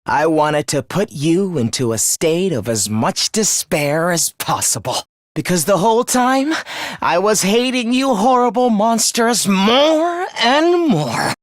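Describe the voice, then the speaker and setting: A man's voice: a little high, big pitch swings, measured pace, no room sound, clean studio sound. A man playing a contemptuous, human-hating young villain in a video game, theatrical and scheming in his delivery. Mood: quiet menace. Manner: theatrical, contemptuous, swinging from hushed menace to shrieking. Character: young male traitor, genocidal, gloating, human-hating schemer. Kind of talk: video game voice acting, villain confession monologue.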